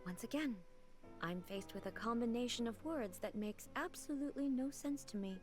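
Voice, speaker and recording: A woman acts a dignified goddess ruler from a video game, with a fairly high voice. The words are formal, measured and earnest, with an unworldly reserve, set over music. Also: natural voice. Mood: puzzled, self-conscious.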